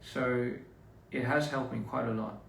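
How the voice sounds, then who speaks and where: A man's voice: medium pitch, steady tone, unhurried, creaky, distant mic, slight background noise. A young man, chatting loosely with his viewers on a live stream and reading their comments aloud. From Australia. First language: English. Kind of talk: casual live stream chat. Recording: echoey room, a bit off-mic.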